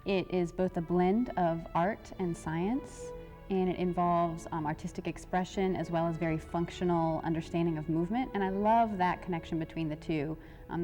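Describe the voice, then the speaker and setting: A woman who leads a dance project, speaking in a thoughtful, measured way with a few fillers in a documentary interview. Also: sits low, mellow. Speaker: dance researcher.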